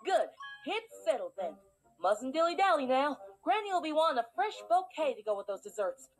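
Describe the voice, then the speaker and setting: A woman acts a scheming female wolf from a cartoon fairy tale. She has a high, swinging voice and a folksy drawl, with a short temper under the coaxing. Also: big pitch swings, fairly quick. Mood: sly, coaxing. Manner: folksy, scheming, easily exasperated. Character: sly female apple-hungry wolf with country drawl. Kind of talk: animated fairy-tale parody acting.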